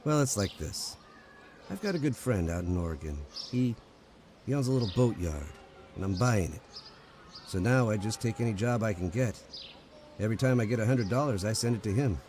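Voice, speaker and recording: A man's voice: on the low side, animated, fairly quick, long pauses, darkish tone, slight background noise, close to an everyday voice. A man playing an easygoing, wry, folksy dreamer in an old-time radio romantic comedy. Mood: easygoing, reflective. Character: easygoing, wry male drifter dreaming of building boats.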